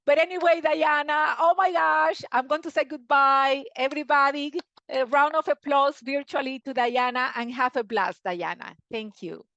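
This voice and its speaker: A fairly high-voiced woman hosts a live webinar over a video call. She is chatty and effusive, gushes freely and fills her pauses with fillers. The sound is close and dry. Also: fairly even intonation, a bit bright, no room sound, highs cut off. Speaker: webinar host. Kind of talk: live online webinar hosting.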